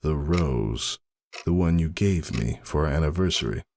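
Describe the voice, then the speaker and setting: A man playing a grieving pony lover in a dramatic story, softly reassuring yet quietly unhinged, in measured speech. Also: very deep voice, expressive, gravelly, slight background noise, exaggerated voice. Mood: quietly grave.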